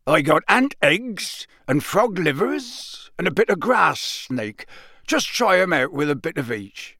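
Man doing a gruff, hearty giant groundskeeper. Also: a little high, big pitch swings, unhurried, sharp tone, no room sound, clean studio sound, voice pushed up, exaggerated voice. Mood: explaining. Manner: rough dropped-letter dialect, enthusiastic. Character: huge gruff bearded male groundskeeper, kindly.

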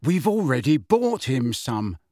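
Mischievous teenage prankster for an audiobook, voiced by a man: mocking, sarcastic, mock-anxious, very animated delivery. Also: a little high, a little slow, dry recording, clean studio sound, voice pushed up. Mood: sour.

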